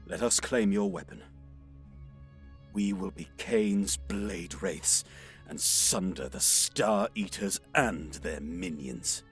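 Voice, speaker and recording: A man's voice: sits low, full character voice. A man playing a bold, devout and loving alien warrior in an audio drama, declaiming in a grand, earnest way.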